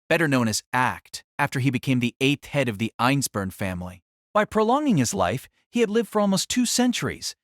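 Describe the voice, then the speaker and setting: A man's voice: fairly high pitch, fairly bright tone, no room sound, studio quality. A man narrating a light novel in a dramatic, scripted storytelling style.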